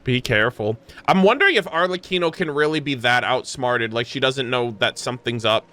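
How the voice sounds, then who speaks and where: A man doing casual, excitable gaming commentary for a stream, full of slang and swearing. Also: mid-range voice, a little fast. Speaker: streamer playing and reacting to a video game.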